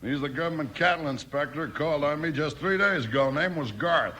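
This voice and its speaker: An actor playing an uneasy American ranch owner in a 1950s radio western. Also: male voice, a little high, fairly quick, bright, forceful tone. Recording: old radio recording with faint noise.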